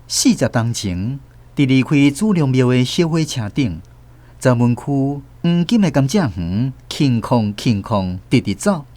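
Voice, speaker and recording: Animated Taiwanese male storyteller. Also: mid-range voice, a little slow. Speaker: narrator. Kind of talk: dramatic scripted first-person storytelling.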